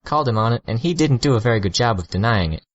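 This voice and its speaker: A pushy, mocking teenage girl played by a man reading aloud who teases with a slow, blunt drawl. The voice sits well above his low register. It is captured dry and a little dull. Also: animated, dry recording, natural voice. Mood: teasing.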